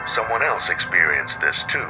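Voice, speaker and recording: A man playing a smooth tabloid television host, polished and probing as he interviews a guest. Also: low-pitched, big pitch swings, normal speed, loud music behind the voice, rough recording. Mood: polished, intrigued. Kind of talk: scripted TV presenting in audio drama.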